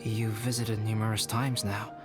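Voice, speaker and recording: Low-voiced scholarly young alchemist, played by a man. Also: level tone, close to an everyday voice. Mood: composed, thoughtful. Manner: formal, measured, erudite. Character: calm, scholarly young male alchemist, reserved and grave. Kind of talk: video game voice acting. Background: prominent background music.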